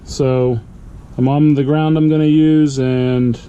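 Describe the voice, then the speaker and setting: A man's voice: mid-range voice, little pitch movement, slow, dark tone, muffled recording, slight background noise. A man casually narrating a hands-on repair on his truck, thinking aloud with fillers as he works. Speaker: channel host. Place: truck cab and engine bay.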